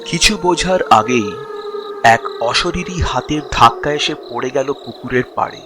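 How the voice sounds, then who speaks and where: Slow, suspenseful male storyteller. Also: medium pitch, over music. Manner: suspenseful, with drawn-out pauses between short phrases. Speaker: narrator of a ghost story. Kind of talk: dramatic scripted horror storytelling.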